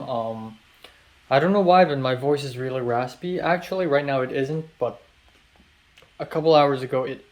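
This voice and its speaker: A man's voice: medium pitch, darkish tone, poor audio. A young man rambling through a late-night video diary about his college classes, hesitant and full of fillers and restarts. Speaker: college student vlogger.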